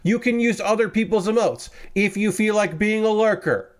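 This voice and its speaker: A young man with a somewhat high-set voice chats loosely with his livestream audience from his room. He rambles, trips over his words and corrects himself, and he still sounds a little stuffy after a cold. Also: normal speed. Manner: rambling, self-correcting, chatty with viewers. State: slightly congested, recovering from a cold. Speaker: streamer building model kits. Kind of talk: casual livestream chat. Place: his room with window open.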